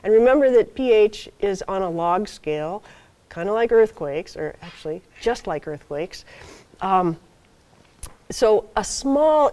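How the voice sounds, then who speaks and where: An older woman giving a university guest lecture at a podium in a lecture hall, soft-spoken and explaining step by step with frequent ums and okays. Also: mid-range voice. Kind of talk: university seminar talk.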